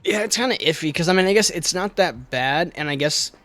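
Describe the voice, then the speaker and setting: A man's voice: on the high side, lively intonation, fairly quick, fairly bright tone, no room sound, faint music behind. A young man co-hosting a podcast in casual chat, easygoing and explanatory, laughing often. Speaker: podcast co-host. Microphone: desk microphone with level meter.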